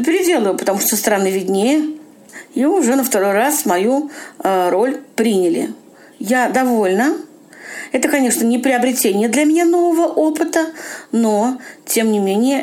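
Higher-pitched woman giving a personal account. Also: a little mellow. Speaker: amateur audio-play actress. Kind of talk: reflective spoken account for a radio feature.

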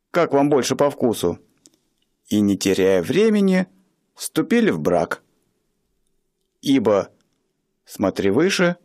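A man narrating a radio play in a slow, wry storytelling manner. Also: darkish tone. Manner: slow, wry storyteller with wide swings in pitch.

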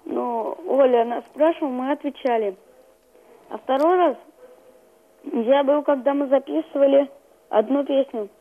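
A young male with a very high voice answers interview questions briefly and hesitantly. He comes through a narrow, phone-like remote connection. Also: measured pace, pauses often. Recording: band-limited remote connection to studio.

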